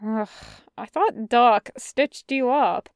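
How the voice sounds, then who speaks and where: A brash soldier guy acted by a woman reading a story, sarcastic and exasperated. The voice sits high and clear, with slangy, needling phrasing. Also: takes it slowly, no room sound, clean studio sound. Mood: incredulous. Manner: prodding, slangy, swearing. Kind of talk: dramatic fan fiction reading.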